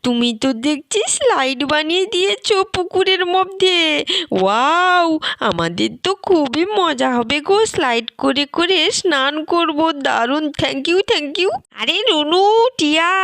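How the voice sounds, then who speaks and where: A woman voicing excitable, bossy village children eager to play in a doll-play story, exclaiming and bickering. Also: high voice, no echo, studio quality, exaggerated voice. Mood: thrilled.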